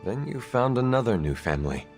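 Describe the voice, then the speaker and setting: A man playing a stoic, reserved and supportive video game hero, speaking in formal, measured phrases. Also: medium pitch, big pitch swings, big-room sound, soft background music. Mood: calm, probing. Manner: formal, measured, few contractions. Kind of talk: video game dialogue acting.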